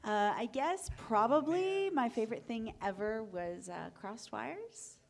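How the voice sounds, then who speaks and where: A woman's voice: on the high side, lively intonation, unhurried, creaky. A woman chatting casually as a panelist in a convention room, into a microphone. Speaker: panelist, voice actor. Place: convention meeting room with an audience.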